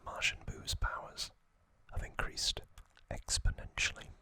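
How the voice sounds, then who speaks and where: A man hosting ASMR, whispering trivia narration in a breathy, unvoiced voice with soothing, deliberate short phrases. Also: pushed and bright. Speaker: ASMR host. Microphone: close microphone.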